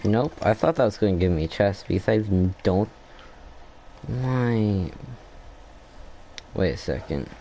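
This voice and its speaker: A man playing a game at home and chatting through it, thinking aloud in a rambling way. His voice is fairly low and mellow. He often trails off and restarts his thoughts. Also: animated, unhurried, long pauses, slight background noise. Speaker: gameplay streamer. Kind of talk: casual gameplay commentary.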